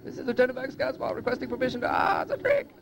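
A man's voice: very high-pitched, huge pitch swings, rapid-fire, gravelly, voice pushed up, exaggerated voice. A man voicing a hot-headed space pilot lieutenant, urgent and strained, in an amateur story. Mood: alarmed, vengeful.

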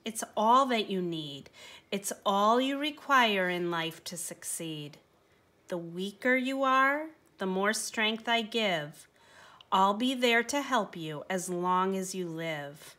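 A woman reads the part of a kindly, mysterious man in a children's storybook. The delivery is slow and calm, each rhymed line measured and reassuring. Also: mid-range voice.

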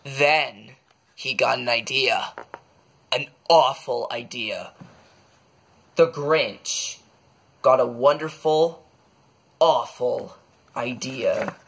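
A man reading a children's bedtime story aloud, expressively, with dramatic pauses. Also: mid-range voice, a bit bright, a little hiss or hum. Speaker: storybook reader.

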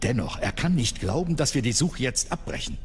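An actor playing a serious, concerned warrior-monk officer in a sober, measured way for a radio drama. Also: male voice, medium pitch, big pitch swings, slightly rough. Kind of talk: German radio drama acting.

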